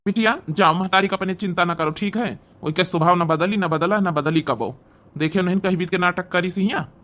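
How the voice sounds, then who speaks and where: A man's voice: high-pitched, a little fast, fairly bright tone. A man voicing a kindly, sentimental older village father with an Awadhi accent in a cartoon comedy. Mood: reassuring. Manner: tender, sentimental, reassuring. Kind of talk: cartoon comedy drama dialogue.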